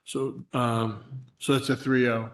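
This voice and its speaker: Casting: mid-pitched staffer, casual explainer, roomy chamber sound. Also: male voice, little pitch movement, slow, fairly bright tone. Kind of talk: briefing a council meeting.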